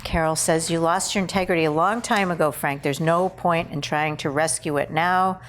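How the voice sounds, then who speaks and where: Lower-pitched woman over background noise. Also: steady tone, moderate pace. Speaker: actor at a table read. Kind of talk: casual script discussion.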